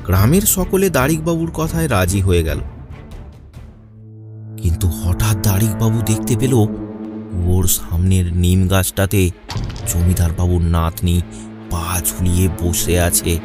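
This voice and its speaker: Male narrator, low voice, scripted horror storytelling over clear background music. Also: measured pace, pauses often.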